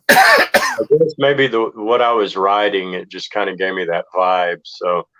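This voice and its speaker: A man's voice: sits low, wildly swooping pitch, measured pace, pushed and bright, no room sound. A man chatting casually as a musician guest, laid-back and plain-spoken, on a dull, lo-fi recording. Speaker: guest, rock band musician. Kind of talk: casual chat. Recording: dull lo-fi, dry close sound.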